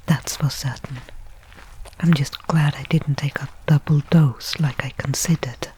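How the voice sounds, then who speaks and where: Breathy, slow, weary stranded woman playing a space explorer. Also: low voice, dark tone, full character voice, poor audio. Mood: shaken, wry. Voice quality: breathy, whispery. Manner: wry, halting, talking to herself. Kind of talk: ASMR audio roleplay monologue.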